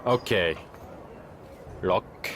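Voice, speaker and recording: A man's voice: sits low, very slow, fairly bright tone, a little hiss or hum, heavily acted. A man playing a cocky, snide young wrestler and social media show-off in game cutscene dialogue.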